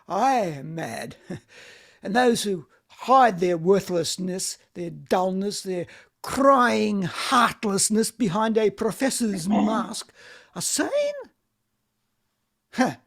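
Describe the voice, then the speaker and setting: A woman's voice: mid-range voice, gravelly, slightly rough, exaggerated voice. A woman playing a despairing, self-loathing middle-aged man in an amateur play reading, in an anguished, theatrical manner. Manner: anguished, theatrical, bitterly laughing.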